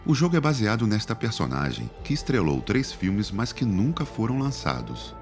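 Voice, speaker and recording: A man's voice: on the low side, very animated, normal speed. A man with a Brazilian Portuguese accent, plainly reading an audio description of a video clip. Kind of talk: scripted narration. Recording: music underneath.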